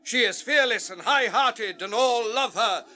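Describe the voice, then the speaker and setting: A man's voice: very high voice, a little slow, pushed and bright, heavily acted. An actor playing a loyal spokesman of a king's people, formal and earnest, in a fantasy radio play.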